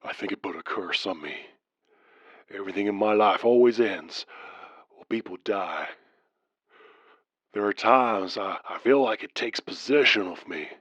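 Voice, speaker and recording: A man performs a haunted male caller in a horror story. His voice is fairly low and very dry, with no room around it. He confides in a halting, uneasy way. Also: fairly bright tone, no echo. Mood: haunted, confiding. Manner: halting, uneasy confessional telling. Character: haunted male radio caller recounting demonic encounters.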